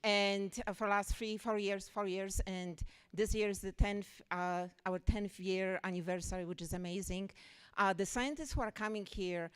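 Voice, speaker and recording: Woman, Polish accent, effusive, emotional, smooth clear voice, speech at a lecture event. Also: medium pitch, fairly even intonation, moderate pace. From Poland. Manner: emotional and effusive, gushing with enthusiasm. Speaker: physics workshop founder. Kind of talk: warm public welcome speech.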